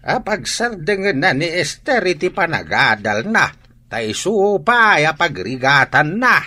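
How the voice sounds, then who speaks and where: A man's voice: on the high side, big pitch swings, measured pace, pushed and bright, heavily acted. A man playing a plaintive family man in a radio drama, with a northern Philippine accent. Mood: explaining.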